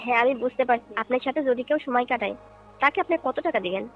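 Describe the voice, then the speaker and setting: Female folk singer played by a woman, high-pitched, courteous and explanatory, dull phone-call sound with faint music. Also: rapid-fire. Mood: curious, tempted. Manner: polite, explanatory, questioning.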